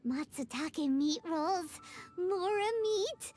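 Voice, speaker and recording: A woman voicing a small floating fairy girl companion in a video game, excitable and chattering. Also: very high voice, slow pace, heavily acted. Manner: excitable, chattering, talks about herself by name.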